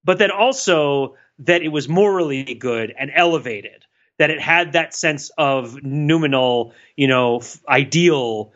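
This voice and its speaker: An excitable man co-hosting a podcast, his voice sitting a bit high and sounding bright and forceful. He talks in eager bursts, restarting sentences as his thoughts race ahead, and the recording is dull and lo-fi. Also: measured pace. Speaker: podcast co-host. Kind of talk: casual chat. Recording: dull lo-fi mic.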